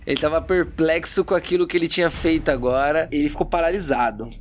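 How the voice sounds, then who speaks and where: A man's voice: fairly high pitch, steady tone, fast, slight background noise. A man working as game master, narrating a tabletop fantasy adventure in a dramatic storytelling style. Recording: background music under the voice.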